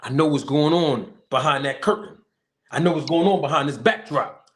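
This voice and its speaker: A man's voice: on the high side, moderate pace. Emphatic boxing manager hosting his show. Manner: forceful, repetitive, preacher-like emphasis; casual slang-heavy speech. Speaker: boxing manager and channel host. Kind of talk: emphatic casual talk show monologue.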